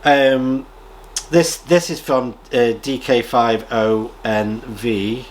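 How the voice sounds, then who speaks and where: A British man chatting casually as a radio hobby vlogger, rambling in a halting way and often clearing his throat. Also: mid-range voice, steady tone, slight background noise. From United Kingdom. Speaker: amateur radio vlogger and presenter. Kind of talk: casual rambling chat, reading out viewer comments.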